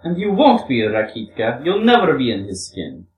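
A woman's voice: extremely low pitch, wildly swooping pitch, moderate pace, darkish tone, a little hiss or hum, full character voice. A woman playing a volatile, wounded young Russian woman in a storybook reading, with theatrical exclamations. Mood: taunting, defiant. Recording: roomy, distant microphone.

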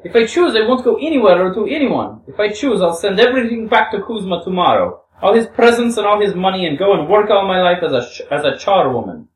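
A woman's voice: mid-range voice, big pitch swings, normal speed, a little hiss or hum, pitched above the performer's own voice, natural voice. A woman playing a volatile, wounded young Russian woman in a storybook reading, with theatrical exclamations. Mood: wild, defiant. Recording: roomy, distant microphone.

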